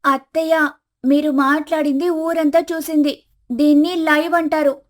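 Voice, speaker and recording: Woman playing young daughter-in-law, high voice, polite, frank, explanatory, animated village tale. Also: unhurried. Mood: reproachful. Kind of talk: animated moral story acting.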